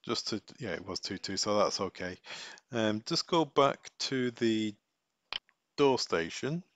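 A man giving a casual step-by-step software tutorial, thinking aloud as he works. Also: lowish voice, lively intonation, a little slow, no echo.

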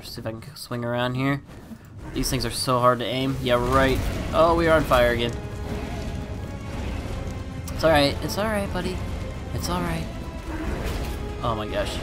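A man hosting a gaming channel, chatting excitedly over his gameplay and laughing often, with a stuffy nose. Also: mid-range voice. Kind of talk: casual gameplay commentary.

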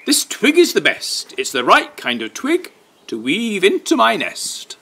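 A man's voice: high voice, wildly swooping pitch, unhurried, bright, forceful tone, slightly rough, voice pushed up, heavily acted. A man voicing a pleased, purposeful swan in a children's storybook.

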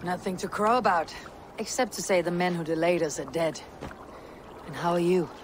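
An actor playing a bold, brooding young Viking warrior in a video game, terse and formal. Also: male voice, high voice, normal speed, a little hiss or hum, close to an everyday voice. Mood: steady, dry. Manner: terse, formal, archaic phrasing. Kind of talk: dramatic game cutscene acting.

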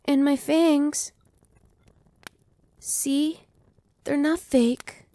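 A young woman voicing a gentle female vampire who speaks softly and slowly, sometimes whispering. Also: high voice, steady tone, no echo. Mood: soothing. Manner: soft, slow and reassuring, dropping to whispers. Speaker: voice actress. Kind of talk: ASMR roleplay.

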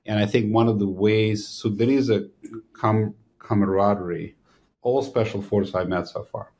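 Man, low voice, podcast host. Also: normal speed, slight background noise. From Ukraine. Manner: animated, explanatory. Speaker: physician host. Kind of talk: interview conversation. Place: small studio room with a banner. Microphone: desk podcast mic.

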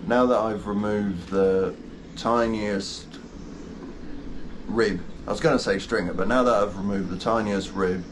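Rambling man in a noisy boat cabin. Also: sits low. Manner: rambling, self-deprecating, practical explaining. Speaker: boat renovation vlogger. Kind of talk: casual vlog chat. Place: inside a boat cabin under renovation. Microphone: handheld wide-angle camera close up. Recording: noisy boat workshop ambience.

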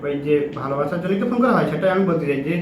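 A young man talks quickly on a phone call, in a slightly high voice. He is insistent and circles back on his point in casual, confrontational talk. The room echoes and there is clear background noise. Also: animated, crowd noise. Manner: insistent, repetitive. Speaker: prank caller.

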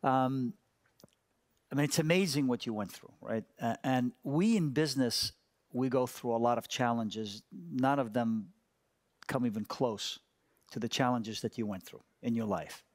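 A man in his fifties interviewing on stage in a conversational, halting way, repeating words as he thinks. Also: medium pitch, no room sound, clean studio sound. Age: 50s. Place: large studio stage, indoors. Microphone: headset.

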